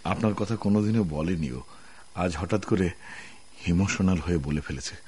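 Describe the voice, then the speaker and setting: An actor playing an earnest, courteous office manager in a radio drama, with a husky voice. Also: male voice, low voice, moderate pace, mellow. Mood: reassuring, concerned. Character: earnest, polite, principled male office manager.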